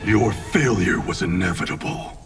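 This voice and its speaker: An actor playing a cold, proud ninja clan grandmaster in a video game, curt and commanding. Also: male voice, fairly low pitch, moderate pace, recorded from a distance, natural voice. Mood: contemptuous. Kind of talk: cinematic video game acting. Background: loud cinematic music, mechanical sounds.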